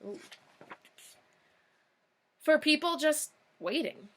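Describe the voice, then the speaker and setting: Young lady teacher doing a storybook read-aloud, really bright voice, kinda high, expressive. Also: very slow. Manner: expressive storybook delivery with short informal asides. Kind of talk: children's picture book read-aloud.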